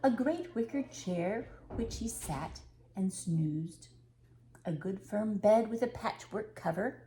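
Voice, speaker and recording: Older woman reading with lively, swooping intonation. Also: mid-range voice, slight background noise, low-quality recording. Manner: unhurried, expressive storytelling with dramatic pauses. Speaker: storyteller reading aloud. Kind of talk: children's storybook read-aloud.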